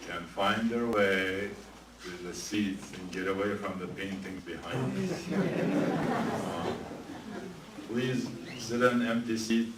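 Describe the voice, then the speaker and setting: Deep-voiced man announcing in an echoey room. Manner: halting, many "uh" fillers. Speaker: event emcee. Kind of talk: event announcements and speaker introduction. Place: museum event room with audience. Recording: echoey room from far off, noisy.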